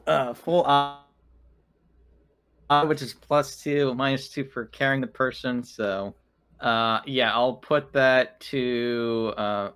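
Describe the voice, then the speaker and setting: A man's voice: medium pitch, a bit bright. A man playing a tabletop game, chatting casually and explaining rules and dice results.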